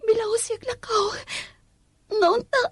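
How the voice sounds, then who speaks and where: An actress playing a lovesick housemaid in a radio drama, with a Visayan Filipino accent and a tearful, deferential manner. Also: female voice, very high-pitched, little pitch movement, unhurried, dry recording. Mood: tearful longing. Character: lovesick housemaid, a mother pining for her master. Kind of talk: radio drama acting with confessional narration.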